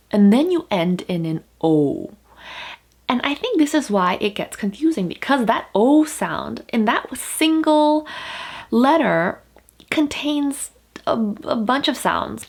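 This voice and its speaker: A young woman whose first language is Korean, giving a casual pronunciation lesson to the camera. She explains patiently, with fillers and restarts, and draws out vowel sounds to demonstrate them. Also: on the high side.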